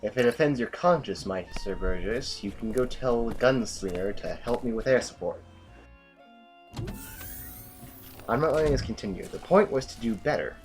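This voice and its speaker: An actor playing a stern, disillusioned military general in an audio drama, with a blunt, brooding, commanding manner. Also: male voice, mid-range voice, animated, a little fast, hardly any pauses, lightly acted. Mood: grimly determined. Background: music.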